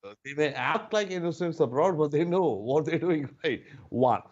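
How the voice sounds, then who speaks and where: A man with an Indian accent giving analytical panel commentary in a deliberate, emphatic way over lo-fi remote audio. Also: a little high, animated.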